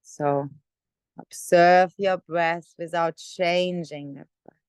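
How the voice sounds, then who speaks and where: A woman leading a guided meditation in a gentle, unhurried manner with many pauses. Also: lowish voice, fairly even intonation, smooth, dry recording. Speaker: meditation teacher. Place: online video call session.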